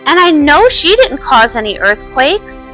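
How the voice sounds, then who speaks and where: Woman voicing a very high-pitched girlish pony princess. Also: sing-song, unhurried, pushed and bright, faint music behind, exaggerated voice. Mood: doubtful, earnest. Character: bubbly, earnest young royal pony princess, social media lover. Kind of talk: fan comic dub, cartoon acting.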